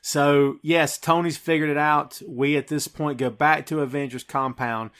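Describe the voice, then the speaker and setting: A man's voice: fairly high pitch, fairly even intonation, moderate pace, fairly bright tone, clean tone. A man hosting a podcast, eagerly recapping a film scene by scene in casual chat. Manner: enthusiastic, recapping scenes with a laugh. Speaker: podcast host.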